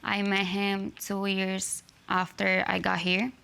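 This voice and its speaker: A woman's voice: mid-range voice, completely flat delivery, slow, gravelly, sharp tone, clear, resonant tone. A young woman with a Cuban accent and Spanish as her first language, telling her side conversationally in a TV court. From Cuba. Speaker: litigant on a TV court show. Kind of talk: conversational testimony. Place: TV studio courtroom.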